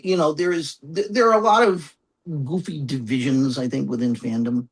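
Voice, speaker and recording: A man with a husky, slightly rough voice at a middling pitch gives reflective interview answers. He talks as an author explaining his work, pausing and doubling back on phrases as he thinks. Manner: halting, thoughtful, circling back and repeating phrases. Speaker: guest author and essayist.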